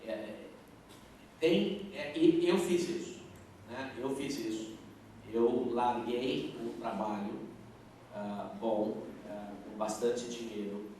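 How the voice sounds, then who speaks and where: A middle-aged male pastor answering questions at a youth service, earnest and halting, with many fillers and restarts. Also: mid-range voice, mellow. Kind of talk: live Q&A at a youth church service. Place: large church hall. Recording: echoey hall, distant mic, faint noise.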